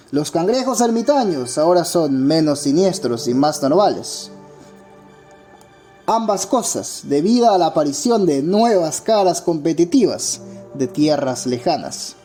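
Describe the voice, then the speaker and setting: Scripted documentary narrator, mellow timbre, dramatic storytelling, music behind. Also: medium pitch. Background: background music.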